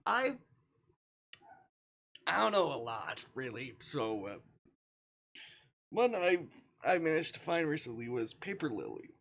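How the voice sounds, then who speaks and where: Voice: mid-range voice, big pitch swings, unhurried, pauses often, a bit bright. A game streamer chatting casually to viewers, hesitant and playful, often muttering to themselves. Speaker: livestreamer. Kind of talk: casual live game stream chat, reading on-screen dialogue aloud.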